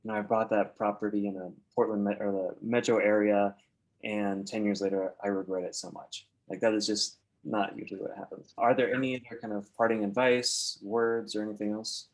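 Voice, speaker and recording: A man who grew up in Oregon, hosting a relaxed, chatty interview as a real estate agent. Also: on the low side, fairly quick, rough recording. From Oregon, USA. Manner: relaxed, chatty, many filler words and restarts. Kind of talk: casual interview questions.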